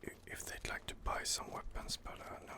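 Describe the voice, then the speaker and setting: A man whispering soft, hesitant commentary while playing a video game, close to the microphone. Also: fairly quick. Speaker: gaming ASMR creator. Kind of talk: whispered ASMR gameplay commentary.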